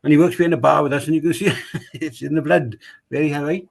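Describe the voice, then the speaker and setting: A man's voice: a little high, fairly even intonation, fast, vocal fry. A man with a Welsh accent, a rugby club chairman, talking casually on a podcast, rambling and repeating himself with many fillers.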